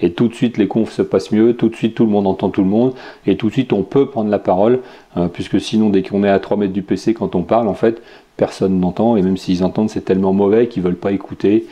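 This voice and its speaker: Rambling French man, dark low voice. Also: fairly even intonation, fast talker. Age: adult with children. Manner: rambling, many fillers and restarts. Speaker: podcast host. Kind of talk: casual tech and games review.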